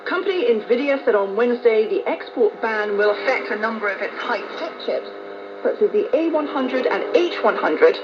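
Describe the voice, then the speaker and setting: A woman reading a scripted news report as a correspondent, on a noisy, dull shortwave radio broadcast. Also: on the high side.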